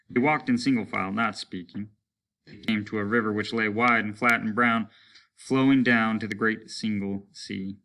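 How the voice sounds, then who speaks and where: Male teacher as storybook narrator, lowish pitch, bright forceful tone, dramatic delivery. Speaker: teacher reading a story aloud. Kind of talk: dramatic audiobook-style narration.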